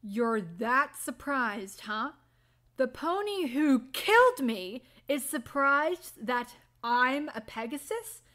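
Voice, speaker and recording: A woman's voice: high-pitched, sing-song, slow pace, bright, forceful tone, slight background noise, full character voice. A woman voicing an emotional, wounded female pegasus ghost with dramatic, accusing delivery in an amateur audio drama. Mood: accusing, hurt.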